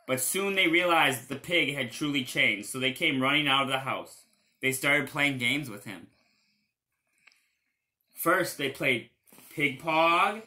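A man, reading a children's storybook aloud in an animated style. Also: mid-range voice. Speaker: storybook reader.

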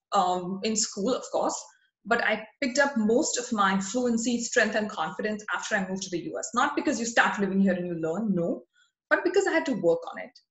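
A woman's voice: mid-range voice, a bit bright, reverberant, rough recording. A woman in her thirties from India with an Indian accent, giving an earnest, motivational webinar talk over slides. Her first languages are Hindi, Marwari and Bengali. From India (rural West Bengal). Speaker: webinar presenter.

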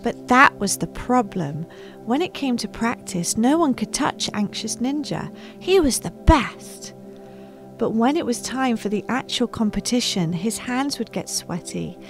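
High-voiced woman reading a children's story. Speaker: storytime host reading picture books. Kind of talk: expressive children's story narration. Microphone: close microphone on a boom arm.

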